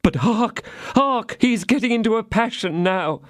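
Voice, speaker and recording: A fretful innkeeper whines and pleads, voiced by a man. His voice sits high and swoops up anxiously, and the recording is dry and close. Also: animated, a little slow, no echo. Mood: fretful, pleading. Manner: whining, pleading, high and strained. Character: anxious wailing male innkeeper, fussy and fretful.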